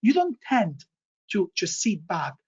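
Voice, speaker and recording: Spanish-accented male programme director, husky tone, filler-heavy, emphatic repeats, dull call audio. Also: sits high, wildly swooping pitch, takes it slowly. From Spain. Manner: frequent fillers and repeated words, emphatic triple repetitions. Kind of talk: online information session presentation.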